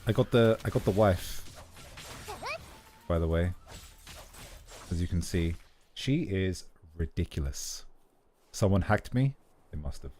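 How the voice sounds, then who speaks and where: A man's voice: deep voice, animated, a little slow, long pauses, warm tone. A man chatting casually while livestreaming a game, his voice scratchy, rambling and correcting himself as he goes. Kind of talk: casual gameplay stream chat. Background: faint game music.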